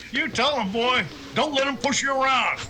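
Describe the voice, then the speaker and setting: A man's voice: extremely high pitch, unhurried, pushed and bright, heavily acted. An actor playing a big, surly gunman who goads and threatens defiantly in a western radio drama. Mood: goading. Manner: goading, defiant.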